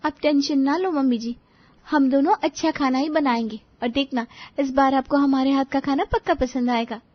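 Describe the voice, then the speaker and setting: Naive young daughter-in-law for a cartoon family tale, female actor, very high eager voice, dry recording. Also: fairly even intonation, normal speed, no echo, muffled recording, close to an everyday voice. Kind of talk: cartoon story dialogue.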